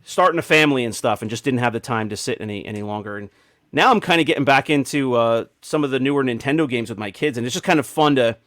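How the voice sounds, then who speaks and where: Middle aged guy hosting a casual panel show, normal pitch, really dry close audio. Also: fast talker, a bit bright, no echo. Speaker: podcast host.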